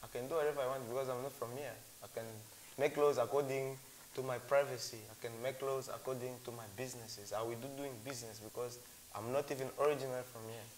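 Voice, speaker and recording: A man's voice: medium pitch, fairly even intonation, fast, poor audio. A man speaks in a middle register, circling back over his points in an earnest, rambling way. A faint hiss sits under the recording. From Congo. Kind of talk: casual discussion. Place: Cape Town.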